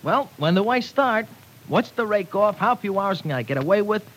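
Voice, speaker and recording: An actor playing a cocky, defensive young ex-offender in an old radio drama, with brash, slangy wisecracks. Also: male voice, high-pitched, lively intonation, normal speed, a bit bright. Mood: brash, defiant. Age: young adult. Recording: noisy vintage radio recording.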